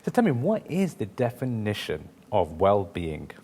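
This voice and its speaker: A man's voice: lowish voice, huge pitch swings, measured pace, warm tone, husky, in a large hall. A man hosting a talk show, presenting topics and interviewing his guest.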